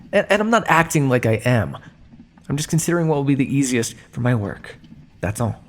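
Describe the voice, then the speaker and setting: Male actor as vampire scientist captor for audio roleplay: creaky, swooping delivery, smug and theatrical, some hiss on the recording. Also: medium pitch. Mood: irritated, cold. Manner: smug, measured, teasing, theatrical. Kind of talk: ASMR roleplay monologue addressed to listener.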